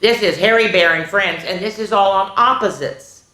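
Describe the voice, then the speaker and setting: A woman's voice: medium pitch, normal speed, bright, forceful tone. A woman hosting children's storytime, reading aloud expressively in an exaggerated sing-song way with chatty asides to young listeners. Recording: echoey room.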